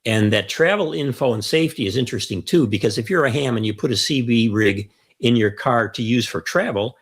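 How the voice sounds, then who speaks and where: A man's voice: medium pitch, fairly quick, breathy edge. A mature man co-hosting a radio hobby show, talking conversationally through a list of points and repeating key phrases for emphasis. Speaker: co-host of a ham radio show. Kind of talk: conversational explanatory talk, counting off points.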